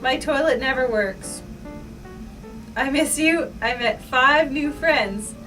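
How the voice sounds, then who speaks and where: Higher-pitched, slow woman reading aloud. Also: bright, forceful tone. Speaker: staff member reading aloud. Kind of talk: reading a child's letter aloud. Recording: distant mic with guitar music. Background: guitar music.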